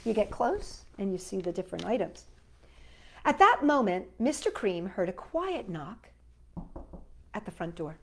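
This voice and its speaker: A woman's voice: medium pitch, big pitch swings, a little slow, pauses often, slight background noise. A woman hosting children's storytime, reading picture books aloud with enthusiastic, chatty asides to young viewers.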